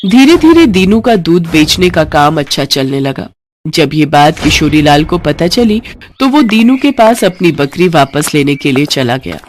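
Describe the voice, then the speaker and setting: A woman's voice: deep voice, big pitch swings, a little slow. Woman narrating a moral story, faint noise. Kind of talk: scripted bedtime story narration.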